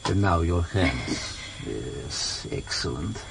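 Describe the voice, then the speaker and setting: An actor playing a cultured, mockingly courteous older foreign interrogator, formal and deliberate, in a vintage radio drama. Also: male voice, low voice, animated, creaky, full character voice. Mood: coldly courteous. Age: middle-aged or older. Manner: formal, deliberate, mock-polite. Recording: slightly noisy vintage radio recording.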